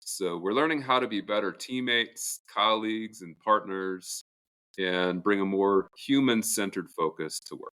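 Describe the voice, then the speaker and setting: A man co-hosting a podcast in an informal, conversational way, with halting, pause-filled introductions. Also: on the low side, pushed and bright, smooth. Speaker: podcast co-host.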